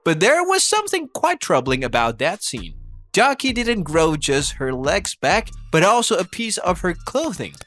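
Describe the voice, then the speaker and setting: A man's voice: high voice, huge pitch swings, moderate pace, fairly bright tone, no room sound. A man narrating a scripted video essay, asking rhetorical questions with playful, incredulous emphasis. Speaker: narrator of an anime commentary video. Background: background music.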